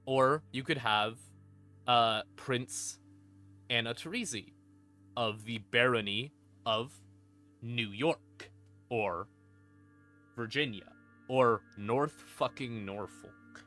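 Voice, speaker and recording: A man's voice: mid-range voice, slow, pauses often, pushed and bright. A man explaining lore on a stream in a casual, unscripted, irreverent way, swearing freely. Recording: background music under voice. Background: ambient music.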